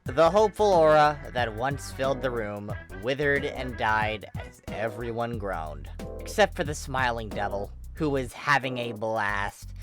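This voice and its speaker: A woman's voice: very deep voice, expressive, measured pace. A woman narrating fanfiction in a wry, comedic storytelling manner. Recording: music bed under the voice.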